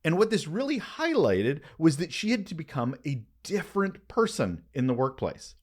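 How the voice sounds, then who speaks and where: A man hosting a podcast, delivering a conversational scripted monologue with emphatic, expressive phrasing and dramatic pauses. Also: a little high. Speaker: podcast host.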